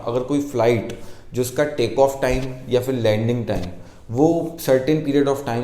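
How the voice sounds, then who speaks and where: Mellow man explaining, in echoey room. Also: medium pitch. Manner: mixes English technical terms into speech. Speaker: presenter of an explainer video. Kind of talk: informal explanatory lesson. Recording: echoey, roomy sound.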